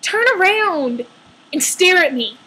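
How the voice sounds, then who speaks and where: A young woman telling a casual personal story in an animated, exaggerated way, acting out her own asides as a storytelling vlogger. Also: extremely high pitch, slow pace, rough recording.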